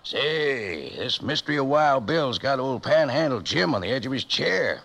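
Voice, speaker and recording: Gravelly, folksy old cowboy pitchman. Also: sing-song, normal speed, a bit bright, full character voice. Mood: jolly, selling. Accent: American Western drawl. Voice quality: raspy, gravelly. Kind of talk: 1950s radio advertisement.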